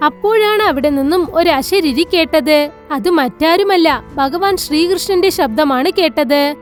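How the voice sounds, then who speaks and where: A woman's voice: sits high, expressive, unhurried. A woman narrator reading a scripted children's moral story. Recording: faint music behind narration.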